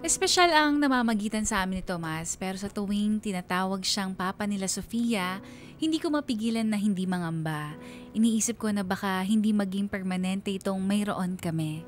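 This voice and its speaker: An actress voices an anxious mother narrating her own radio drama. She speaks at a medium pitch in a heartfelt, confiding way, with faint music behind her. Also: moderate pace, natural voice. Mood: wistful.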